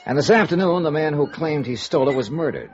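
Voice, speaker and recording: An actor playing a cool, world-weary male insurance investigator in 1950s radio noir style, with a dry, probing, measured delivery. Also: fairly high pitch, expressive, close to an everyday voice. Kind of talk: 1950s radio noir acting and first-person narration. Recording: dull vintage radio recording with music.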